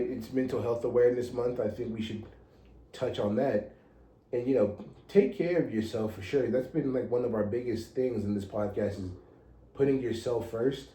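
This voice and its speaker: American guy 30s, super mellow dark voice, blunt slangy podcast talk, swears, echoey. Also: mid-range voice. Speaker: podcast co-host. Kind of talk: casual chat.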